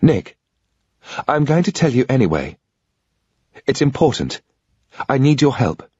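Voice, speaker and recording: A man's voice: medium pitch, big pitch swings, a little slow, darkish tone, breathy edge, no room sound, dull audio, pitched above the performer's own voice. A man voicing a determined young British woman agent who talks in an insistent, earnest, persuasive way. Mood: pleading.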